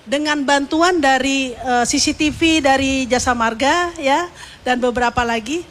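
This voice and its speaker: High-pitched woman giving a halting speech. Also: fairly bright tone. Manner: halting, searching for words with repeats and pauses. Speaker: media executive giving a speech at a send-off ceremony. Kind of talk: semi-formal unscripted ceremonial speech.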